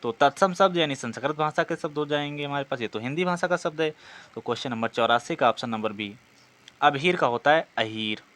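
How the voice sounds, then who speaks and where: A man's voice: a little high, a little fast, fairly bright tone. A man teaching an exam practice lesson, reading out questions and explaining the answers in a didactic way, often asking and answering himself.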